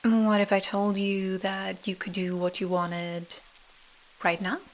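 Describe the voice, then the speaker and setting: A woman's voice: medium pitch, level tone, slow, slight background noise. A woman voicing a sly male coachman in a bedtime story, wheedling and conspiratorial. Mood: tempting. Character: sly coaxing male coachman luring children with treats.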